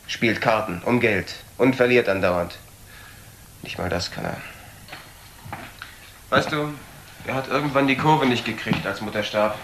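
A man playing a bitter, taunting nephew in a German crime radio play, reproachful and sardonic with pointed pauses. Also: fairly low pitch, fairly bright tone, close to an everyday voice. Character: bitter, taunting young nephew of an unloved aunt. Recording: roomy, clearly noisy old audio.

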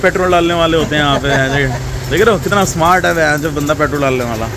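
A man vlogging a road trip in casual, excited chat that mixes Hindi and English. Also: a little high, quick pace, noisy background. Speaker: travel vlogger. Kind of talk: casual travel vlog chat. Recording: loud music behind the voice.

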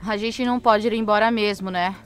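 A woman's voice: mid-range voice, steady tone, a little fast, soft background music, lightly acted. A Brazilian woman acting in an audio drama as a curious, blunt journalist with an informal way of talking.

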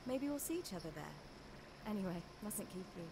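A woman's voice: medium pitch, sing-song, moderate pace, vocal fry, very noisy. An actress playing a friendly, inquisitive young village woman, casual and polite in a game scene. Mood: friendly, curious. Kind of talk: acted game cutscene dialogue.

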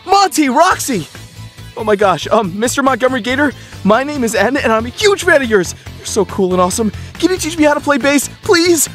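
Man playing a gushing, excitable robot guy, voice jumps all over, faint music under it. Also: very high-pitched, brisk, full character voice. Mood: giddy. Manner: giddy, gushing. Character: cheerful excitable young male robot drone. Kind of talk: fan comic dub.

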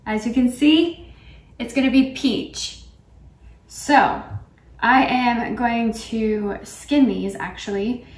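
A woman's voice: on the high side, a little mellow. A young woman in her twenties casually explaining each step while she cooks in her home kitchen, filmed by a camera a few feet away. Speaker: cooking vlogger. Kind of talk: casual how-to narration. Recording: echoey kitchen with music behind.

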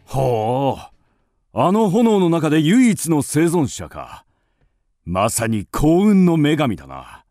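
An actor playing a proud, bombastic army sergeant who declaims like a parade commander in a radio drama. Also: male voice, on the high side, sing-song, slow, mellow, no echo, heavily acted. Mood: impressed. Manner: declamatory, barking. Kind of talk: Japanese radio drama acting.

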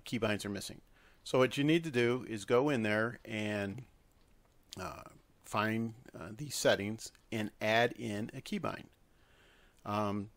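A man giving an unscripted screen-recorded software tutorial, hesitating often as he explains each step. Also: on the low side, vocal fry, dry recording.

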